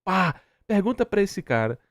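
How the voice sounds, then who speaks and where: A young Brazilian man with a fairly high voice and very lively intonation. He argues casually and emphatically as he reacts, repeating himself in exasperation. The sound is close and dry. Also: normal speed, no room sound. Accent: Brazilian Portuguese. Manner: exasperated, argumentative, emphatic repetition. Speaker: streamer reacting to a video. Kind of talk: casual opinionated reaction commentary.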